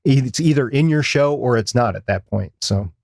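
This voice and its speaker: Man, middle-aged, podcast co-host. Medium pitch, plain-spoken casual discussion, dry recording. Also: moderate pace, creaky, a little mellow, dry recording.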